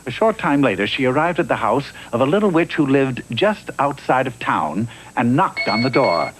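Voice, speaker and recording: A man's voice: medium pitch, big pitch swings, normal speed. A man narrating a fairy tale cartoon in a theatrical, mock-earnest storybook style. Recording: old TV soundtrack with faint noise.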